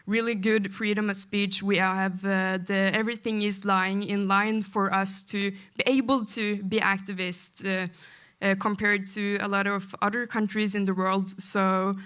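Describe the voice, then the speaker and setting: A woman's voice: medium pitch, little pitch movement, unhurried, fairly bright tone, clear, resonant tone. A woman from Norway, speaking hesitantly on a conference panel with frequent fillers.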